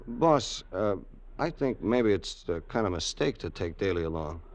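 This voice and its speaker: An actor playing a loyal, wary gangster's sidekick in an old radio crime drama, colloquial and hardboiled. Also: male voice, lowish voice, animated, normal speed, darkish tone, natural voice. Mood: hesitant, wary. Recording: noisy old radio recording.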